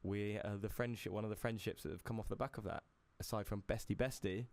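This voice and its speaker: A man's voice: fairly low pitch, steady tone, fast. A young British man co-hosting a casual podcast, chatty and easygoing, often laughing. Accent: British English. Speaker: podcast co-host. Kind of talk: casual chat.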